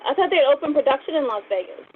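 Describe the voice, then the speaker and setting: Female podcast co-host, high and excitable, lively swooping delivery, slightly muffled sound. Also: racing, slight background noise. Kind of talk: casual podcast banter. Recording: muffled, band-limited podcast audio.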